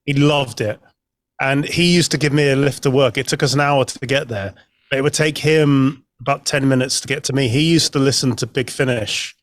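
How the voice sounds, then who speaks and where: A man's voice: mid-range voice, fairly even intonation, moderate pace, dry recording. Male podcast guest with a dry sound. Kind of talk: casual anecdotal chat.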